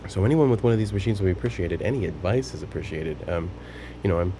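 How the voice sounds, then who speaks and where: A man with a very low voice talks casually through a hands-on demonstration. His explanations come out tentative and unscripted, halting as he works them out. There is clear noise throughout the recording. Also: expressive. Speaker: hobbyist demonstrating a tape machine repair.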